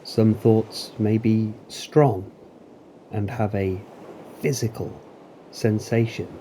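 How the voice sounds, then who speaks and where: A man narrating a guided meditation in a calm, deliberate, soothing manner with long pauses. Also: lowish voice, slight background noise, low-quality recording. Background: waves.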